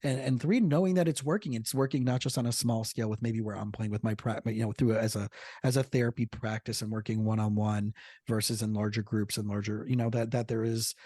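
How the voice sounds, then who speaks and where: A man hosting a podcast, a therapist who talks excitedly and rambles with many restarts. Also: fairly low pitch, brisk, dry recording, clean studio sound. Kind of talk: casual podcast chat.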